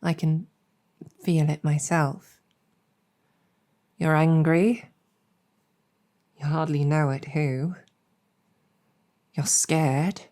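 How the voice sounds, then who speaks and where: A woman voicing an earnest, protective succubus demon general in an intimate audio roleplay, speaking deliberately with halting pauses. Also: low-pitched, steady tone, warm tone. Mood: earnest, confiding. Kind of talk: intimate improvised roleplay storytelling, ASMR-adjacent.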